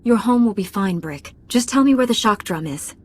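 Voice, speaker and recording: A woman's voice: mid-range voice, expressive, moderate pace, natural voice. An actress playing a confident young female Jedi knight in a video game, speaking firmly and directly. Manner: firm, direct, assured.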